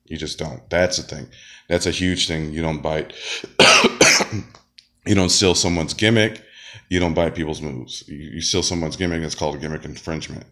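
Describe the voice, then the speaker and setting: A man's voice: a bass voice, fairly even intonation, moderate pace, bright, forceful tone. A man who is sick, talking in a rambling casual monologue with many fillers and restarts, sometimes coughing. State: sick, deep voice. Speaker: wrestler and comedian.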